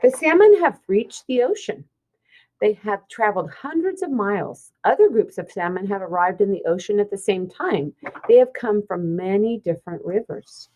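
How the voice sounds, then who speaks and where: A woman's voice: mid-range voice, lively intonation, moderate pace, warm tone, poor audio. Woman, teacher read-aloud, children's science book, very varied intonation, simple explanatory delivery. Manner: explanatory, pointing things out simply.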